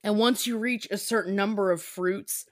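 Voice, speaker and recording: A woman podcast host chatting casually, explaining things in an animated, giggly way. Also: medium pitch, moderate pace, no echo. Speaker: podcast host and sketch creator. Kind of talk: casual chat.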